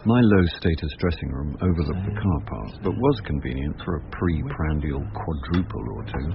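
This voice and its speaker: A man playing a dry, boozy English actor turned amateur sleuth, narrating and talking in a radio drama with deadpan wit. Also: rumbling low, moderate pace, noisy background. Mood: wry narration. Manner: wry, deadpan. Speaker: narrator and lead character. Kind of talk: wry first-person radio drama narration and dialogue. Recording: muffled radio broadcast with music behind.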